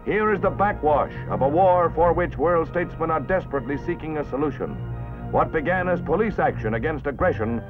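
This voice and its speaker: A man's voice: fairly high pitch, animated, fairly quick, over music. An American man reading scripted 1950s newsreel narration with a dramatic, solemn, emphatic announcer delivery. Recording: muffled vintage 1951 newsreel soundtrack.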